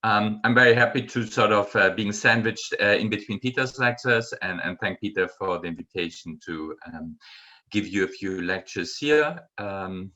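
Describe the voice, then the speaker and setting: A middle-aged man from Germany with a German accent, giving a university lecture in an unhurried way with many ums and uhs. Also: fairly low pitch, pushed and bright, lo-fi sound. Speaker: guest lecturer.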